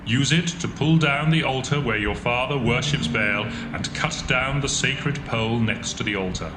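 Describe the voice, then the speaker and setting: A man voicing the calm, commanding Lord. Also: medium pitch, close to an everyday voice. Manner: calm, reassuring, authoritative. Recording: echoey with music underneath.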